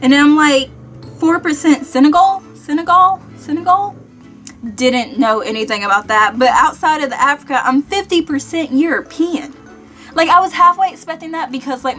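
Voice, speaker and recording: A young American woman chatting casually to viewers in an animated, self-deprecating way, like a vlogger. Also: high voice. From Kentucky, United States. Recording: music behind the voice.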